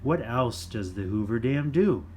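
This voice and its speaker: A man with a mid-range voice reads a children's fact book aloud. He takes it slowly, in simple, deliberate phrases, with some background noise. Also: medium pitch, lively intonation, a little mellow. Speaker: children's storytime reader.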